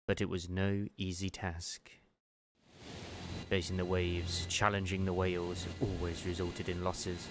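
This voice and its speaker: A man's voice: sits low, level tone, a little slow, noisy background. A man giving a dramatic, scripted narration of a story as a fan dub. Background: music.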